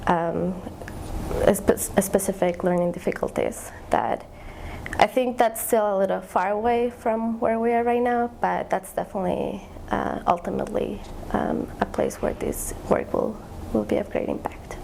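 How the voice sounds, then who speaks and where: A woman's voice: mid-range voice, normal speed, creaky, mellow, a little hiss or hum, low-quality recording. A young woman, a graduate student explaining her research in an interview, thoughtful and searching for words. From Ecuador. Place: eye tracking lab.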